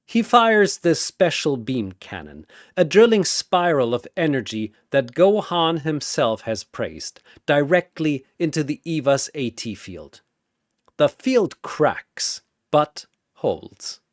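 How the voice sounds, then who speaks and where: Slow, dramatic male narrator, very animated pitch. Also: no room sound, clean studio sound. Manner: theatrical, punchy delivery. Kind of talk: dramatic scripted battle narration.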